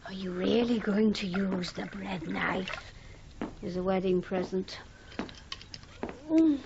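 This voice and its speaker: An actress playing a wry, wistful, sharp-tongued wife in a radio drama, dry and deadpan. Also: female voice, medium pitch, takes it slowly, creaky, noisy background. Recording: muffled 1980s radio recording.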